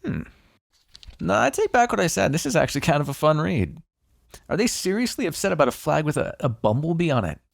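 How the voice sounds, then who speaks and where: A man's voice: fairly high pitch, very animated, fairly quick, creaky, no room sound, exaggerated voice. A man playing a smug, teasing, grudgingly fond vampire in an intimate ASMR roleplay, talking in a sardonic, conversational way. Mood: amused teasing.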